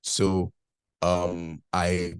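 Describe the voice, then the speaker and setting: A man's voice: deep voice, monotone, extremely slow, clean tone, no echo. A young man from Nigeria with a mixed Nigerian, British and Canadian accent, telling his story candidly in a casual interview and leaning on "like" a lot. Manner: candid, storytelling, heavy use of "like". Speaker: guest.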